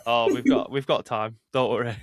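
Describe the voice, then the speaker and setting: A man hosting a podcast interview, speaking in a halting way with many restarts. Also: medium pitch, steady tone, dry recording, studio quality. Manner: halting, repeats words and restarts. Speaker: podcast host, personal trainer. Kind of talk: casual interview chat.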